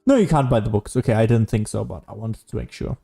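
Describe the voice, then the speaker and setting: A man giving casual solo gameplay commentary as a streamer, rambling and thinking out loud as he goes. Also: on the low side, expressive, quick pace, vocal fry, husky, soft background music. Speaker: gaming streamer.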